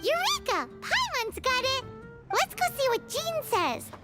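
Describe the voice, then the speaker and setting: A woman voicing a tiny, excitable childlike fairy companion in a video game, chirpy and squeaky. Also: a little slow, over music, heavily acted. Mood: eager. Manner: chirpy, refers to self in third person. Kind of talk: animated game character dialogue.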